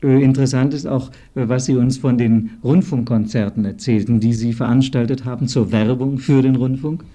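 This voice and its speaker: Very mellow, dark-voiced male interviewer. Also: medium pitch, steady tone, moderate pace. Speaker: radio interviewer. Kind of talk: formal interview questions. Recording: 1950s archival radio recording.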